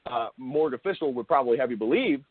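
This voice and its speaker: Male interview host, mid-pitched, phone-quality audio. Unscripted explainer, halting, self-correcting, emphatic on key words. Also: lively intonation, breathy edge, no room sound. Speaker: show host interviewing a guest. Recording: thin phone-like call audio.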